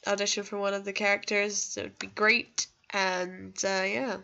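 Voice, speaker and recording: A woman's voice: mid-range voice, level tone, moderate pace, vocal fry, pushed and bright. Young woman rambling casually, dull lo-fi mic. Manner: rambling, self-deprecating, reassuring. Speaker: gaming video creator. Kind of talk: casual chatty commentary over gameplay. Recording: dull lo-fi home microphone.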